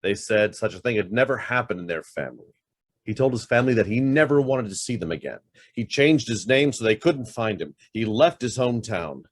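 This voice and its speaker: Guarded middle-aged businessman in a radio play, acted by a man; lively, swinging intonation, clipped and haunted by guilt. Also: lowish voice, fairly quick. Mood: uneasy, confessing. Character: guarded, brusque investment firm boss hiding a guilty past. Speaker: actor. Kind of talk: radio drama acting.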